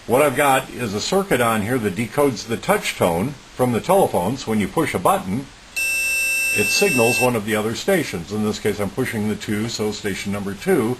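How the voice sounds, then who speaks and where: A man's voice: fairly low pitch, lively intonation, normal speed. A man giving an informal, methodical technical demonstration as a hobbyist, in a plain-spoken, matter-of-fact way. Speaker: electronics hobbyist presenting a homemade project. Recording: clearly noisy recording with hiss.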